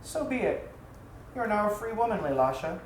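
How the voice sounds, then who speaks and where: A woman's voice: medium pitch, big pitch swings, fairly quick, close to an everyday voice. A woman voicing a despairing, self-pitying male caravan navigator in a storybook tale, lamenting grandly. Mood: rueful, resigned. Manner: melodramatic, lamenting. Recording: echoey room, faint background noise.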